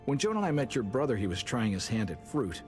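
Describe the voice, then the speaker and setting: An actor playing a plain-spoken, skeptical farm manager in a video game, with a dry, wry, matter-of-fact manner. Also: male voice, medium pitch, very animated, fast, natural voice. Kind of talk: naturalistic dramatic game acting. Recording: long reverb, music under the voice.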